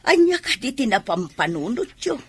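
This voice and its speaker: Woman playing doubtful middle-aged wife, Ilocano accent, radio drama, animated, husky. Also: a little high, normal speed, no room sound. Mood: curious, doubtful.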